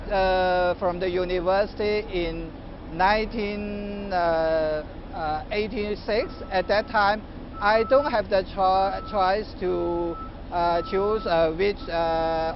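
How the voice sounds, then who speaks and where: A middle-aged man answers questions slowly, stopping often to search for words. He speaks outdoors on a city street, with a slightly dull tone and faint traffic behind him. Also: high-pitched. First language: Chinese. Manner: halting, many filler pauses. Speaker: interviewee, political candidate. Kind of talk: remote news interview answers.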